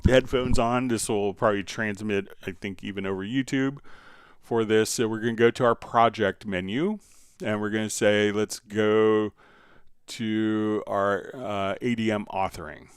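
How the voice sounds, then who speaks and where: Male livestream software instructor, lowish resonant voice, informal halting explanations, dry close sound. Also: fairly even intonation, smooth, no room sound. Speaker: host answering viewer questions on a software livestream. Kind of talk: informal tutorial, explaining step by step.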